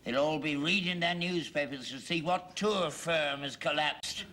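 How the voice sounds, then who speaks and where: A man's voice: on the high side, a little slow, sharp tone, a little hiss or hum. An actor playing a stubborn, patriotic old working-class father with a London accent, grumbling bluntly and indignantly in a British sitcom. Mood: grumbling, gloomy. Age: older.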